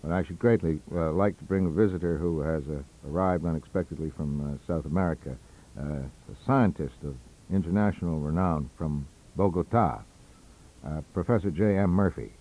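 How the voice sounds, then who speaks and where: Senior scientist in an audiobook, acted by a man: deep, diffident, apologetic, halting delivery. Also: dark tone. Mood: polite, hesitant. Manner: hesitant, mumbling with ers. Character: distinguished, diffident male medical scientist, apologetic.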